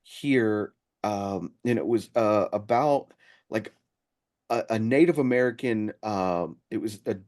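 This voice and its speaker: A man hosting a casual chat, feeling his way through sentences in a halting delivery. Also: lowish voice, darkish tone. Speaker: podcast host.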